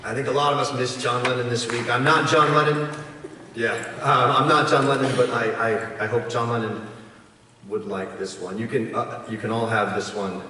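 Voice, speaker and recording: A man's voice: mid-range voice, fairly bright tone. Male poet at a live reading, emphatic, wryly comic, recorded at a distance in a very reverberant hall. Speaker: poet reading own work at a live event. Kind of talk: performed poetry reading, spoken word. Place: performing arts hall in front of an audience. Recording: echoey hall, distant mic, noisy room ambience.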